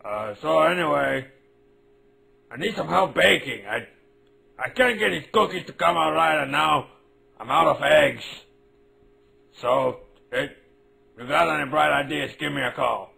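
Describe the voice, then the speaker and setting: Gravelly cartoon prank caller. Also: male voice, fairly high pitch, sharp tone, exaggerated voice. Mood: awkwardly scheming. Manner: halting, fake-formal, blustering. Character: mischievous gruff male prank caller, bluffing clumsily. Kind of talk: cartoon phone-message acting.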